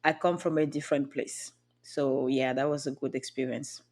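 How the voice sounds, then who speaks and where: A woman's voice: very deep voice, fairly even intonation, moderate pace, darkish tone. A woman from Cameroon with a Cameroonian accent, speaking earnestly as a podcast guest and often restarting her sentences. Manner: earnest, with frequent restarts and light laughs. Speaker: podcast guest, booking agent. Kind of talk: reflective interview talk.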